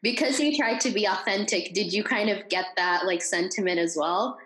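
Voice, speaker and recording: A woman's voice: mid-range voice, moderate pace, fairly bright tone. A woman co-hosting a podcast, outspoken, often stumbling at the start of her questions in a casual chat. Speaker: podcast host. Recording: echoey room.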